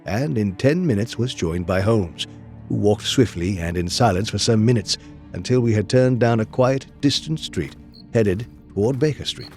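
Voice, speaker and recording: An actor playing a loyal gentleman companion who tells the story in an audio drama, measured and earnest. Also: fairly low pitch, lively intonation, faint music behind. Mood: measured narration. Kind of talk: scripted audio drama narration and dialogue.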